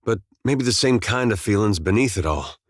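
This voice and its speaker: An actor playing an easygoing, loyal farmer turned soldier in a video game, with laid-back folksy phrasing and dry humour. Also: male voice, on the low side, lively intonation, moderate pace, dry recording. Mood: wry, teasing. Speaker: video game companion character. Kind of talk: game dialogue and battle barks.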